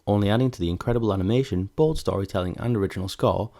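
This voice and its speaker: Guy narrating a video essay, really low voice, talks pretty quick. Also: dark tone. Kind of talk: scripted narration.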